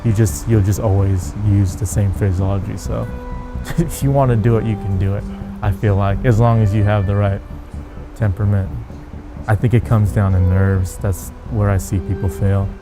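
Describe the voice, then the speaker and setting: A man's voice: fairly low pitch, fairly even intonation, moderate pace. A man who works as an air traffic controller, talking informally in an interview. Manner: informal, peppered with "like". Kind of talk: casual documentary interview. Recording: music bed behind voice.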